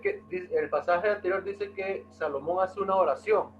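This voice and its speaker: A man's voice: high voice, normal speed, dull audio, faint music behind. A man in an online Bible study, earnestly explaining a passage over a video call. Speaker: study participant.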